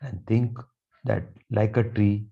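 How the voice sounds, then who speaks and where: A man guiding a meditation with affirmations in a slow, calm, soothing delivery with long pauses. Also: on the low side, vocal fry. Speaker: meditation guide, Reiki teacher. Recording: dull, muffled lo-fi sound.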